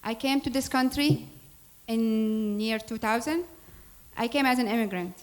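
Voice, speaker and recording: A woman's voice: on the high side, measured pace, poor audio. A woman from Syria, speaking earnestly as a board president introducing a program, with small grammar slips. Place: church hall.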